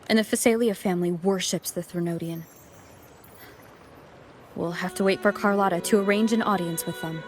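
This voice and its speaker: An actress voicing a thoughtful young female adventurer in a video game, composed, serious and deliberate. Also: mid-range voice, natural voice. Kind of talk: scripted game voice acting.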